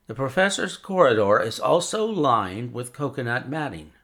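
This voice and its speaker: A man voicing a sharp, confident male detective who reasons in a crisp, measured way. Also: mid-range voice, very animated. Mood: reasoning.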